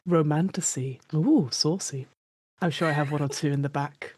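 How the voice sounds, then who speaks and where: Woman playing a whimsical low-voiced mystical bookseller. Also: normal speed, darkish tone, no echo. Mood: sly, teasing. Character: whimsical, mystical bookseller who savours every recommendation.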